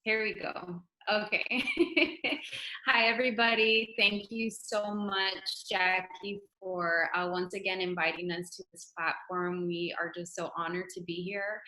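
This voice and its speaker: A woman speaking as a guest on an online panel, soft-spoken and gracious, with frequent small pauses. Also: mid-range voice, flat delivery, reverberant, rough recording.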